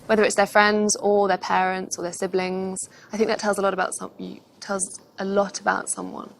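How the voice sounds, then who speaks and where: A woman commentator talks casually, giving her views in conversation. Her pitch is medium, and there is clear ambient noise behind her. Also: flat delivery, normal speed.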